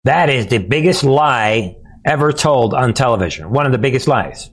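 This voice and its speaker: A man's voice: medium pitch, animated, normal speed, breathy edge. Scornful male talk show host. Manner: combative, scornful, addresses listeners as folks. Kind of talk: commentary over news clips.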